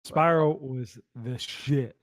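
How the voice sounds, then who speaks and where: A man's voice: medium pitch, slow. A man co-hosting a gaming podcast, tossing in blunt, crude wisecracks. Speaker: podcast co-host. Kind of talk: casual gaming podcast banter.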